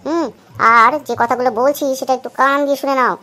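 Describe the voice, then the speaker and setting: A woman playing a bossy, fast-talking wife. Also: high-pitched, steady tone, pushed and bright. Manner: brisk, commanding. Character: bossy working wife giving orders. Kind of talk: comedy sketch acting.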